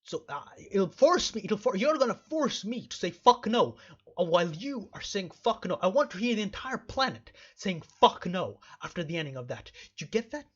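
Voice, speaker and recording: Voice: medium pitch, very animated, normal speed, breathy edge. Mock-stern game streamer, sex unclear, muffled lo-fi sound. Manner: mock-stern, emphatic, repeats phrases. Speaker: video game streamer and host. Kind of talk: rambling let's play commentary.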